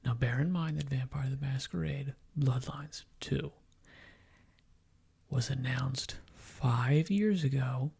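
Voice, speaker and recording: A man speaking in soft, whispery ASMR style, giving rambling, halting gaming commentary as he thinks aloud. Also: medium pitch. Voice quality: whispery, breathy, crackly. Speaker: video game commentator.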